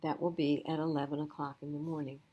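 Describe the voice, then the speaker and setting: A woman hosting a children's storytime, speaking in a measured, plain-spoken way as she narrates and chats. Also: deep voice, flat delivery, lo-fi sound, noisy background. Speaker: library storytime reader.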